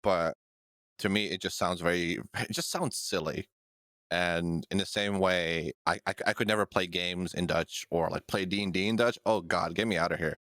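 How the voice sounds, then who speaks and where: Low-voiced man, joking podcast co-host. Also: moderate pace, a bit bright, no echo, studio quality. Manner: profane, joking, laughs often. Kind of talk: casual chat.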